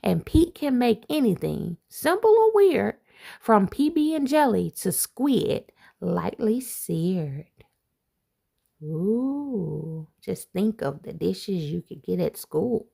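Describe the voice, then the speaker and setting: A woman, an assistant principal, reading a rhyming picture book aloud to children, with playful asides and expressive delivery. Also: medium pitch, slow, creaky, slightly rough.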